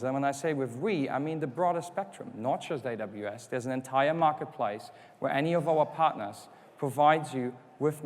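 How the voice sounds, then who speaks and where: A man whose first language is not English, giving a conference keynote, explaining step by step and asking rhetorical questions. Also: mid-range voice. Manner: explanatory, rhetorical questions, acts out customer quotes. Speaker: conference speaker. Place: conference hall. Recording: hall reverb.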